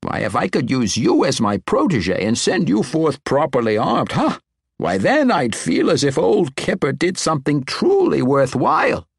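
A man doing an excitable, rambling old wizard, raised pitch. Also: normal speed, vocal fry, breathy edge, no echo, studio quality, heavily acted. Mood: eager, insistent. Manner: animated, rambling, exclamatory. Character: eccentric, excitable, kindly old male wizard.

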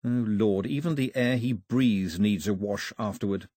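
A man's voice: on the low side, measured pace, dark tone, no echo. A man voicing a plucky, proper young girl, prim and forthright, in an audiobook story. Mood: wry, uneasy. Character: plucky, proper young English girl, sharp-tongued and questioning.

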